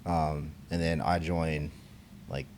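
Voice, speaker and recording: A man's voice: extremely low pitch, level tone, slow pace, darkish tone, a little hiss or hum. A young man co-hosting a podcast, chatting casually and rambling with lots of fillers and restarts. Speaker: podcast co-host, concrete estimator. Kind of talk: casual chat.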